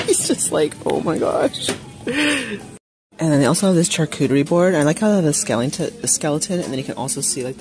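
A woman vlogging as she shops in a big home goods store, chatting casually to viewers with frequent gasps and excited exclamations. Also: rumbling low, gravelly. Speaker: shopping vlogger. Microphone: phone camera held while shopping.

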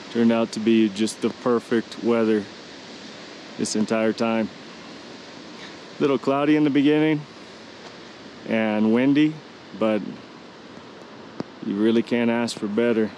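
A man casually narrating his mountain hike outdoors in a relaxed, unhurried way, with a few fillers. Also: on the low side, a little hiss or hum, rough recording. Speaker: hiking vlogger. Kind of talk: casual narration of a hiking trip.

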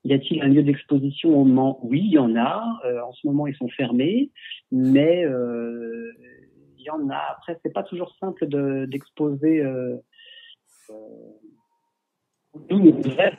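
A man speaking over a thin, phone-like line, his voice a little husky. He is a photographer answering viewer questions in a casual livestream chat. He talks through a headset and stumbles often, circling back with fillers and restarts. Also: medium pitch, a little slow. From France. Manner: hesitant, many fillers and restarts. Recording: husky, tinny call audio.

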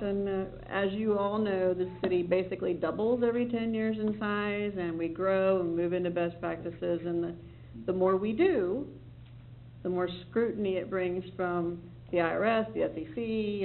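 City staffer at a board meeting: a rambling, reassuring explainer with a gravelly edge, heard over a tinny line. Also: medium pitch, slight background noise. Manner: rambling, self-correcting, reassuring. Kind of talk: public meeting briefing. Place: meeting room. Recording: tinny, lo-fi meeting recording with faint ambience.

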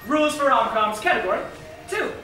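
Young woman playing brisk scientist, very echoey stage. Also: high-pitched, expressive, music in the background. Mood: brisk lecturing. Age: young adult. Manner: declarative, projected stage speech. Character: brisk, authoritative scientist presenting rules of romance. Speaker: actor in a stage comedy. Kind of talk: theatrical comic lecture delivery. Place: large indoor theatre stage. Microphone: far camera. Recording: echoey theatre, distant mic, noisy.

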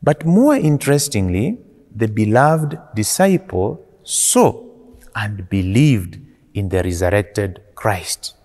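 A man in his forties, a priest giving a homily in slow, deliberate preaching with rising and falling emphasis, recorded indoors in a small church. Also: lowish voice, big pitch swings, a little mellow, long reverb tail.

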